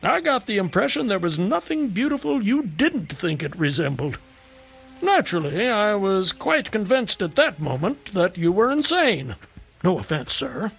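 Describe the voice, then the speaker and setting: An actor playing a garrulous, whimsical, hard-drinking drifter in a radio drama, with wry, theatrical, wordy phrasing. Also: male voice, high voice, big pitch swings, moderate pace, creaky, fairly bright tone, husky, soft background music, heavily acted. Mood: teasing, curious.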